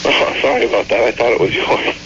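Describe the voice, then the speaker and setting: A man calling in to a radio show, asking his question in an earnest, halting way. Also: medium pitch, noisy background. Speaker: caller. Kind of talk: phone-in question. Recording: distant lo-fi phone line.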